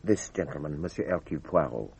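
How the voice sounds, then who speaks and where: Examining magistrate, low voice, very gravelly-husky, formal, polite, methodical, radio drama. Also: male voice, animated, quick pace, darkish tone, no room sound, exaggerated voice. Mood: probing. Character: formal, considerate male French examining magistrate questioning a witness.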